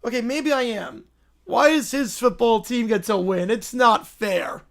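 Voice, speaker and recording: A man's voice: very high-pitched, lively intonation, fairly bright tone, pitched above the performer's own voice, exaggerated voice. A man voicing a childish, bossy sports fan who whines petulantly and wants everyone to be miserable. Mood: tearful sulk. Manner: whining, petulant, drawn-out complaints.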